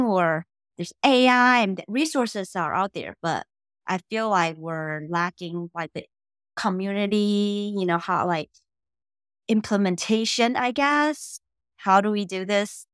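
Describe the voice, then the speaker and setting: Animated young woman. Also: medium pitch, dry recording, clean studio sound. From Taiwan. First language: Mandarin. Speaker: podcast co-host. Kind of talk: casual chat. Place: small bedroom at home. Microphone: laptop webcam on a video call.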